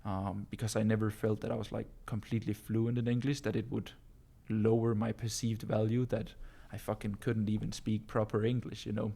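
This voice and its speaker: A Danish man speaks English as his second language, with a low voice that stays fairly level. He talks loosely and casually, peppering his answers with bro and um as he coaches his viewers. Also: little pitch movement, moderate pace, creaky, hardly any pauses, dark tone. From Denmark. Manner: casual, calls listeners bro, many ums and uhs. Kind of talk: casual live-stream Q&A.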